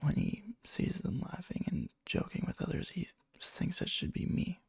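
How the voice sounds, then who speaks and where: A man playing a needy yandere young man confessing love to a friend in a hushed, halting ASMR roleplay, often slipping into a whisper, breathy and gravelly. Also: high voice, wildly swooping pitch, no echo, full character voice. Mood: jealous, yearning. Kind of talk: ASMR boyfriend roleplay, soft intimate storytelling. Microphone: close mic, dry.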